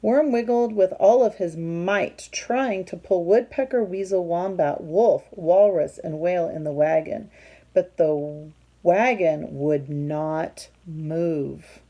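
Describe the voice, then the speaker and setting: A woman reading a picture book aloud to young children, expressive and teacherly, pausing to put questions to her listeners. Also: lowish voice, muffled recording.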